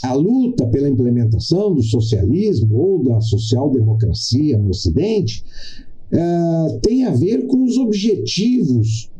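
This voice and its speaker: Slow, dark-voiced Brazilian man, lecturing. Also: on the high side, sing-song, rough recording. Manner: didactic, deliberate, emphatic with repetition and pauses. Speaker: podcast host. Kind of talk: political commentary monologue.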